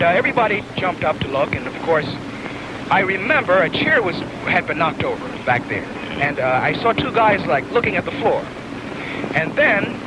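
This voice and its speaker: A man with a fairly high voice gives an eyewitness account to a news interviewer. He speaks haltingly, searching for words, over the very heavy noise of an old newsreel recording.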